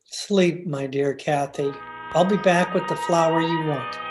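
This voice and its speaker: Female actor as devoted gentle husband, solicitous radio drama delivery, deep for a woman, unhurried. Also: music in the background. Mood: tender, concerned. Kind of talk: old-time radio drama acting. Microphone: recorded remotely over video call.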